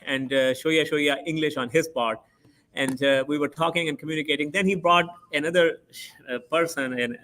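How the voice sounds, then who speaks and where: A man from Pakistan, a doctor giving a testimony talk on an online panel, speaking in a halting way with many fillers and restarts over a video call. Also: medium pitch, bright, forceful tone.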